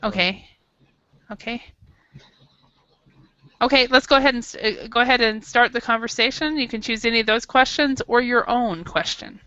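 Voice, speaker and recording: Woman, teacher, bright voice, smooth and clear, dry sound, frequent restarts. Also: on the high side, moderate pace, pauses often, no room sound, muffled recording. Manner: restarts often, thinking aloud. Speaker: English teacher. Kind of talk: classroom teaching.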